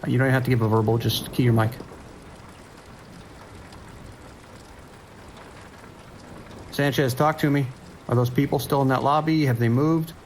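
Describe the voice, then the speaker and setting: An actor playing a tense, dutiful police dispatcher in an audio drama, with clipped, procedural radio speech. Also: male voice, mid-range voice, fairly even intonation, fast, darkish tone, a little hiss or hum, lightly acted. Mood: urgent.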